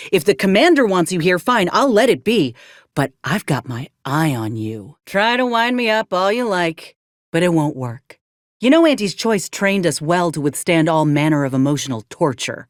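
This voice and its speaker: A woman's voice: on the low side, no room sound, clean studio sound. An actress playing a frank, caring young woman soldier in a game, earnest and plain-spoken with folksy turns of phrase. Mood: stern, wary. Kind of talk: video game companion dialogue.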